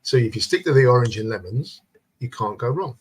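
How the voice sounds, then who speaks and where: A husky-voiced man hosts a relaxed live stream. He banters with his guest and reads viewer comments aloud in a jokey, easygoing way. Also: medium pitch, a little fast.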